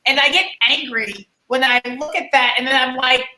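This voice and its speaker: New woman organizer, heartfelt and fired up, sharp bright tone, roomy sound. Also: high voice, normal speed. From New Orleans, USA. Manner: passionate, heartfelt. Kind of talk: casual discussion. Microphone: video call. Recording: echoey room.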